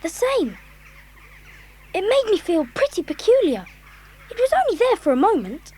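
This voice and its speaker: High-pitched, sensible schoolboy. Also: female voice, big pitch swings, moderate pace, a little mellow. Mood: puzzled, sensible. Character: sensible, well-spoken older schoolboy, calm leader. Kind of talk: children's audio drama acting.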